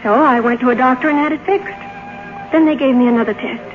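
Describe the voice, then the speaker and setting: An actress playing an earnest, eager young studio script reader in a radio drama, plain-spoken and sincere. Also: female voice, sits high, brisk, music in the background, close to an everyday voice. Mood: candid, cheerful. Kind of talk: 1950s radio drama acting. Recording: noisy, dull old radio broadcast recording.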